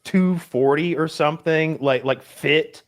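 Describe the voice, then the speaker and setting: A man's voice: on the high side, unhurried. A man in his thirties co-hosting a podcast, telling stories animatedly and laughing often. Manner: animated storyteller, laughs a lot. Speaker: podcast co-host. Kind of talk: casual comedic chat.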